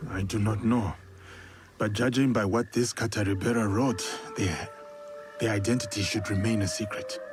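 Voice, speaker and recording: An actor playing a calm, earnest man in a video game, speaking slowly and thoughtfully with hesitations. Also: fairly low pitch, natural voice. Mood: grave. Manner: slow, hesitant, thoughtful reader. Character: calm, earnest male companion translating an old journal. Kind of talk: video game cutscene acting. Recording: music under the voice.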